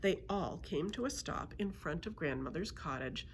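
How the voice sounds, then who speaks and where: A woman narrating a children's book aloud as a storyteller, in an expressive read-aloud style. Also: sits low, moderate pace, a little hiss or hum. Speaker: librarian storyteller.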